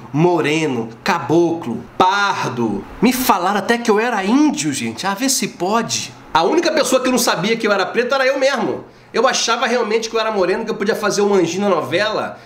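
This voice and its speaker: A man in his forties from Brazil with a Rio de Janeiro accent, vlogging casually to camera at home, slangy and animated. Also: high-pitched. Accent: Rio de Janeiro Portuguese. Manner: slangy, animated storytelling, acts out quoted voices. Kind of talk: casual opinion vlog. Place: home living room, indoors. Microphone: camera at close range. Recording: roomy echo in a home room.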